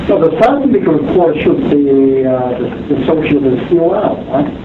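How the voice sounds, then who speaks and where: A man in a technical discussion on a conference call, questioning haltingly and repeating phrases as he goes. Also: on the high side, lively intonation. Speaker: meeting participant in an engineering review. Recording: muffled phone-like, echoey, distant, very noisy.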